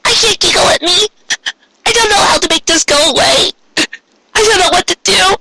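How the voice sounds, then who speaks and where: A man voicing a distraught young female cartoon pony, tearful and childlike, pleading in a squeaky voice and repeating herself. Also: normal speed, creaky, very noisy. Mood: tearful, homesick. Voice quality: shrill, squeaky. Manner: pleading, repetitive, wailing.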